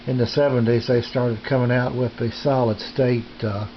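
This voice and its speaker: A middle-aged or older man talks casually in a halting, folksy way, feeling out his sentences as he goes. He sounds like a hobbyist showing off his gear at home. The handheld camera audio is dull and lo-fi, with faint noise behind him. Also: mid-range voice, fairly even intonation. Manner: halting, hesitant, folksy delivery. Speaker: radio hobbyist presenting his equipment. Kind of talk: casual unscripted show-and-tell. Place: small home radio room. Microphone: handheld camera's built-in microphone.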